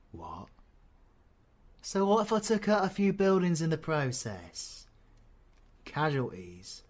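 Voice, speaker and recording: A man with a British accent playing a cocky, foul-mouthed supervillain in an audio roleplay, full of flippant, mocking banter. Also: medium pitch.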